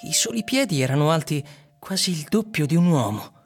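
A man's voice: a little high, lively intonation, brisk. An actor playing a man who solemnly reads an eerie literary tale aloud in a radio drama. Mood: solemn, descriptive. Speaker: narrator and actor. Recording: music under the voice.

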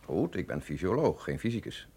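A skeptical, philosophical physiologist in a radio play. The voice sits fairly low but moves in lively swings, eloquent, urbane and dryly ironic. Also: male voice, a little fast, gravelly, slightly rough, exaggerated voice. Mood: thoughtful, doubtful. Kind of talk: Dutch radio drama acting.

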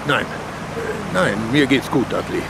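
A man acting in a German radio play as a middle-aged, gentlemanly British police chief who speaks formally and deliberately. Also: medium pitch, big pitch swings, vocal fry, heavy background noise. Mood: concerned.

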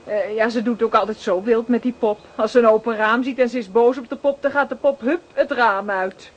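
A woman's voice: on the high side, fairly even intonation, a little fast, muffled recording, natural voice. An actress playing a flustered, chatty young mother in a radio drama, with a hesitant way of talking. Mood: grateful, chatty. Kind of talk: Dutch radio drama acting. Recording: slightly noisy recording.

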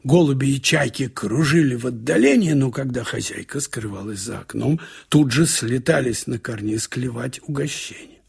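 A man narrating a short story in a conversational, wry way, with expressive asides, like a radio audiobook reader. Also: mid-range voice, moderate pace, creaky, husky. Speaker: narrator reading literary prose.

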